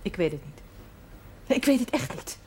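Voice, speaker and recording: Guarded sad daughter in an old tv drama, bit of background noise. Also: female voice, fairly low pitch, big pitch swings, moderate pace, darkish tone. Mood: subdued, guarded. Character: sorrowful, guarded grown daughter of an ageing father. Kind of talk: Dutch 1970s television drama acting. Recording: noisy 1970s television soundtrack.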